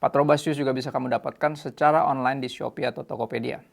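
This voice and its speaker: A man's voice: mid-range voice, fairly even intonation, fast, gravelly. An Indonesian man hosting a casual youth talk show, mixing English phrases into his speech. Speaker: host.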